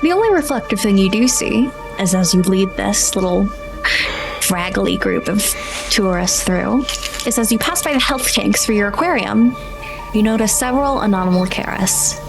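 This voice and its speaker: A woman's voice: medium pitch, expressive, normal speed, gravelly, music in the background, low-quality recording. A woman acting as game master, improvising descriptive scene-setting narration for a tabletop role-playing game.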